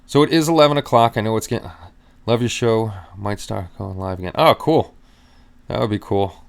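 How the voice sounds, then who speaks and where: A man's voice: lowish voice, moderate pace, creaky, clean tone. A middle-aged man hosting a casual live stream, rambling easily and chatting with his viewers.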